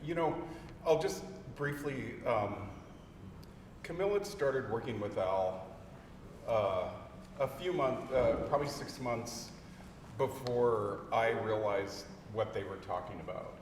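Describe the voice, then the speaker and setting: A man speaking as a bereaved husband at a memorial lecture, hesitant and emotional, with many pauses and fillers. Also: medium pitch, lively intonation. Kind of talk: halting heartfelt remarks. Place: lecture hall. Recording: echoey hall from far off with noise.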